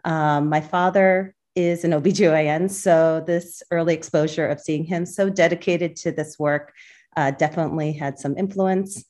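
A woman's voice: low-pitched, steady tone, moderate pace, darkish tone. A woman obstetrician-gynecologist from Boston, answering interview questions thoughtfully with frequent fillers. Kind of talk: podcast interview.

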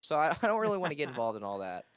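Loud, rambling young esports caster. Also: male voice, fairly high pitch, very fast, no room sound. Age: young adult. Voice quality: naturally loud voice. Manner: rambling, excitable, loses his thread. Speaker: esports caster and college player. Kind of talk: casual interview talk.

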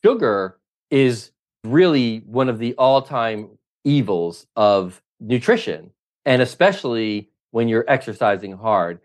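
This is a middle-aged man coaching triathletes, speaking as a podcast guest. His voice sits at an everyday middle pitch. He is emphatic and opinionated and stacks up examples in lists. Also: animated, slow. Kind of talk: interview answers.

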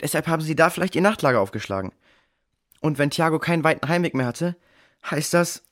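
A man's voice: fairly high pitch, expressive, fast, dry recording, clean studio sound, lightly acted. A man playing an alert, inquisitive boy who reasons things out in a children's audio drama. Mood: reasoning. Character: alert, puzzle-solving boy in an adventure crew.

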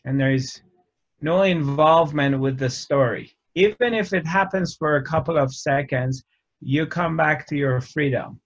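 A man giving a reflective spiritual talk, speaking in short phrases with frequent pauses. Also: a little high. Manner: slow, measured, pausing often between phrases. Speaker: spiritual teacher. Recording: dull lo-fi recording.

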